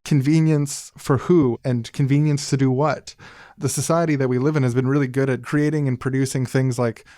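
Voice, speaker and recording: A man's voice: medium pitch, fairly even intonation, moderate pace, dark tone, dry recording, studio quality. A man co-hosting a podcast, giving conversational political commentary in a sardonic, casual way. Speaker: podcast co-host.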